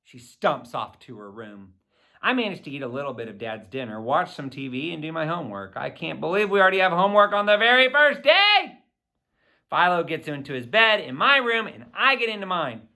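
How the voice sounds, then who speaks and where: A man reading a children's novel aloud in the first person, with expressive, theatrical phrasing aimed at young listeners. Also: a little high, fairly quick. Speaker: audiobook reader.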